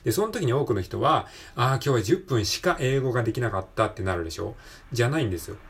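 A man's voice: mid-range voice, expressive, fast, creaky, breathy edge. A man hosting a solo podcast, talking casually and encouragingly, often checking in with the listener for agreement. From Japan. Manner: encouraging, conversational, repeatedly seeking agreement. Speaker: podcast host. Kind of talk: casual solo motivational talk.

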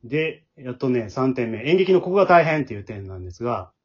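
A husky-voiced man with a Kansai accent chats as a podcast host. He talks quickly with lively swings in pitch, explaining things conversationally. The audio is a little dull and lo-fi. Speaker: amateur theatre director and podcast host. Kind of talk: casual podcast chat.

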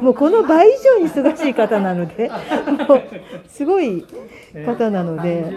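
A woman hosting a casual show, chatting effusively and politely in a rambling, unscripted way. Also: sits high. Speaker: host of an audio app show; visual artist and designer. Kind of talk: casual unscripted chat with guests and announcements. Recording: crowd chatter behind, live on the spot.

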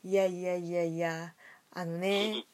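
A woman's voice: fairly low pitch, little pitch movement, a little slow, a little mellow. A woman hosting an audio drama show, chatting casually and chattily with a guest. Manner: chatty, frequent backchannels.

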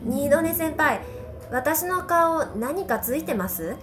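A woman's voice: high-pitched, animated, slow, music in the background. A woman playing an earnest, friendly and polite schoolgirl transfer student at a magic school in an amateur audio drama. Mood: friendly, calm. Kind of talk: amateur audio drama acting with first-person narration. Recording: clearly noisy amateur home recording.

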